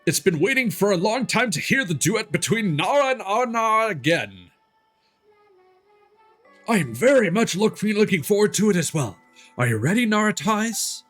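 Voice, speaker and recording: A man's voice: high-pitched, sing-song, normal speed, fairly bright tone, faint music behind, voice pushed up, full character voice. A man voicing small, earnest, childlike forest spirits as he reads game dialogue aloud in a singsong storybook way. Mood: eager, solemn.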